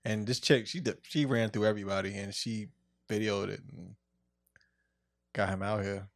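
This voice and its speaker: A man's voice: on the low side, steady tone, moderate pace, no room sound, studio quality. A man chatting casually as a podcast co-host, using slang and some swearing.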